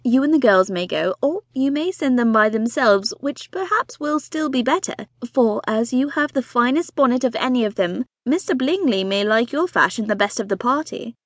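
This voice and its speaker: A woman voicing a weary, bookish husband and father of five who answers in a dry, teasing, deadpan way. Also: a little high, moderate pace, few pauses, no echo.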